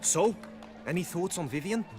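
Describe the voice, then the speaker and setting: A man's voice: high voice, expressive, moderate pace. A man playing an earnest, lovestruck, chivalrous knight in a game, speaking formally and romantically. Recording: music behind the voice. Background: game music.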